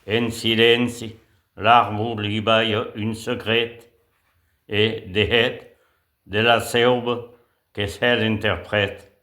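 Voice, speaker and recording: A man's voice: fairly low pitch, level tone, extremely slow, bright, forceful tone. A man with a Gascon accent from the south of the Landes, reciting poetry. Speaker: language workshop pupil.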